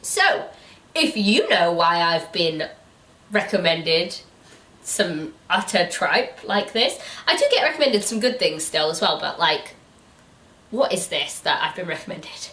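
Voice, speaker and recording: A woman's voice: lowish voice, big pitch swings, a little slow, a bit bright. A British woman hosting a casual, comedic book review chat, giggly, incredulous and free with swearing. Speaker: host of a book review show. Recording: roomy echo, distant mic.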